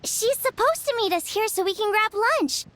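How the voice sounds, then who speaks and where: Very high, squeaky childlike fairy sidekick. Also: female voice, normal speed. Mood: cheerful, eager. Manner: exclamatory, expressive, playful. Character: tiny chirpy childlike fairy companion, cheeky and excitable. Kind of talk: video game voice acting.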